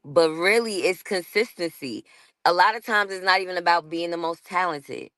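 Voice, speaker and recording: A woman's voice: lowish voice, moderate pace, a bit bright, no echo, studio quality. A woman with a New York accent talking casually as a guest on a panel show, laughing often and restarting her sentences. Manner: chatty, laughs often, self-interrupting.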